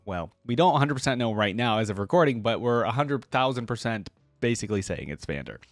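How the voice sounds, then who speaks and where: Male gaming reaction host, mid-pitched and very expressive, informal commentary, dry close sound. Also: no echo. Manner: informal, thinking out loud, addresses viewers as guys. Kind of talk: casual reaction commentary, some reading aloud.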